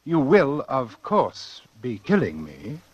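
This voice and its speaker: An actor playing a cold, methodical doctor in a 1940s radio drama, speaking with formal, precise and grave delivery. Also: male voice, medium pitch, wildly swooping pitch, takes it slowly, husky, dry recording, muffled recording, full character voice. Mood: insistent. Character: cold, methodical doctor calmly scheming his own death. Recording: 1940s radio recording with music.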